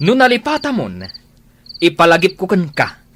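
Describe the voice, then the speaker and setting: Jealous boastful husband, radio drama, highish voice, really animated. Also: male voice, normal speed, slight background noise, exaggerated voice. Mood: boastful, tipsy. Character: domineering, suspicious husband and father, contemptuous and boastful. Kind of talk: Ilocano radio drama acting.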